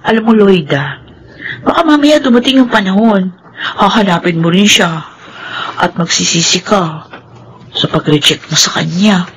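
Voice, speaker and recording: An actress playing a frank, teasing office friend in a Filipino radio drama, animated in her delivery. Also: mid-range voice, moderate pace, pauses often, slight background noise, close to an everyday voice, poor audio. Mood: chiding.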